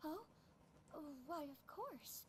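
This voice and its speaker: A high-voiced demure noble lady. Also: expressive, takes it slowly, background noise. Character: gentle, polite young noble lady. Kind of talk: video game voice acting.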